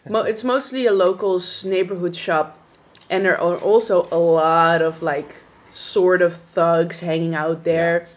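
A woman's voice: lowish voice, steady tone, measured pace. A woman chatting casually and teasing as a podcast co-host, swearing now and then. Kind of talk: casual chat. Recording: dull lo-fi sound.

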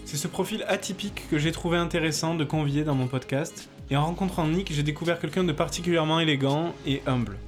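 A man hosting a podcast, asking questions in a relaxed, conversational way with some fillers. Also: a little high, fast, few pauses, echoey room, soft background music. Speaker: podcast host. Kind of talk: podcast interview.

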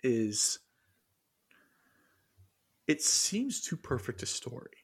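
A man's voice: mid-range voice, big pitch swings, takes it slowly, husky. A man co-hosting a casual podcast, rambling and hesitant, full of fillers and restarts as he reads aloud from his notes. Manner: muses aloud while reading from notes. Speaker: podcast co-host.

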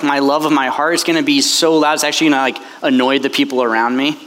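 A man's voice: fairly high pitch, fairly bright tone. A man preaching as a priest to a retreat crowd, impassioned and chatty, with many fillers. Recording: long hall reverb, faint background noise.

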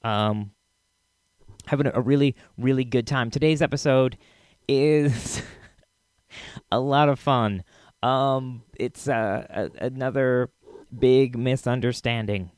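A man's voice: mid-range voice, slow pace, pauses often, darkish tone, clean tone, no room sound, studio quality. A man hosting a podcast, introducing an episode in a casual, chatty way. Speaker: podcast host.

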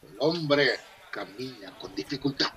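A man's voice: medium pitch, lively intonation, measured pace, fairly bright tone, low-quality recording. A man narrating a humorous radio soap as a theatrical storyteller. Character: theatrical storyteller of a comic 1950s tale.

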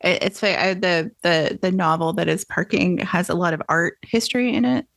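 A woman's voice: on the low side, brisk, gravelly, dry recording, clean studio sound. A woman hosting a podcast interview, chatting in a rambling, casual way. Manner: chatty, rambling, many "like"s and restarts. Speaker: podcast host.

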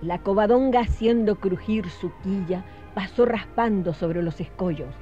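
A woman narrating a scripted historical radio drama in a solemn, measured way. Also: mid-range voice, mellow, natural voice. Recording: dull lo-fi radio recording with music.

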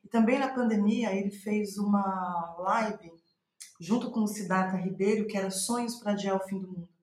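A woman with a Brazilian Portuguese accent in a reflective interview, thinking aloud haltingly and trailing off. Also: mid-range voice, a little mellow, echoey room. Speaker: playwright, podcast guest.

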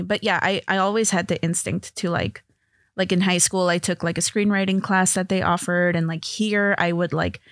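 A woman's voice: lowish voice, dry recording, clean studio sound. A woman in her thirties from Los Angeles, a comedy TV writer chatting casually as a guest, laughing often and filling her talk with "like". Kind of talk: casual interview chat.

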